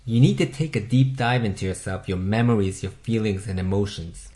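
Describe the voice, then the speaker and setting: A man with a Japanese accent, a native Japanese speaker, narrating a scripted motivational voice-over in a measured, instructive way and putting questions to the listener. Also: on the low side, warm tone. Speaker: self-help video host.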